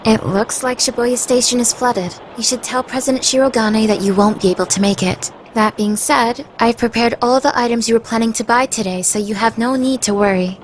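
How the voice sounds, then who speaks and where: A woman playing a cool, sly teenage maid with a polite deadpan manner in an anime fandub radio play. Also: on the high side, a little fast, hardly any pauses, slight background noise, lightly acted, rough recording. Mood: firm, polite. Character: cool, dry teenage maid to a rich girl.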